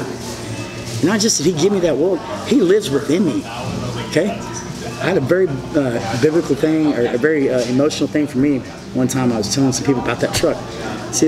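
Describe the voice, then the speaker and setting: Interview guest, man in his 40s, slightly high voice, emphatic and repetitive, restaurant booth with music playing. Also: rough recording. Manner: emphatic, repetitive, gestures broadly while talking. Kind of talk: rambling monologue, telling his story.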